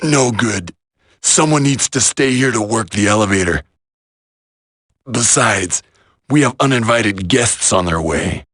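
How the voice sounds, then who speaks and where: A man voicing a gruff, wounded veteran soldier in a video game, strained and husky but stoic. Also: fairly low pitch, expressive, unhurried, pauses often, a bit bright, no room sound, studio quality. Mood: weary resolve. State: weak, strained from injury.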